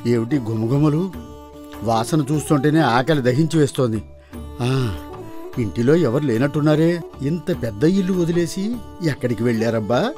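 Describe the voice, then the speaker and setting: A man playing a kindly, anxious village farmer and father in an animated folktale, exclaiming and talking aloud to himself. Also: mid-range voice, normal speed, pauses often, faint music behind, close to an everyday voice. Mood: puzzled. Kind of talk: dramatised animated folktale dialogue.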